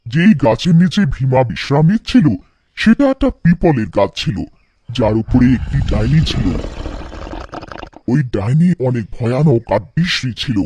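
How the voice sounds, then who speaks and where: Animated, mellow male storyteller. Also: medium pitch, normal speed, a little hiss or hum. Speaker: narrator. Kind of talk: scripted children's folktale narration.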